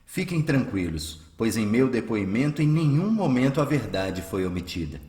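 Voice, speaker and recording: An actor playing a calm, humble, pious male healer in a radio drama, speaking in a measured, earnest way with a Brazilian accent. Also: mid-range voice, close to an everyday voice. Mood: calm, resolute. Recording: roomy echo, music underneath.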